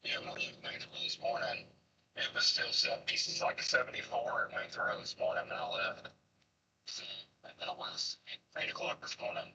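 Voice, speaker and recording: A man calling in to report local weather, talking in a low-key, rambling way. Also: wildly swooping pitch, normal speed, pushed and bright, recorded from a distance, muffled recording, slight background noise.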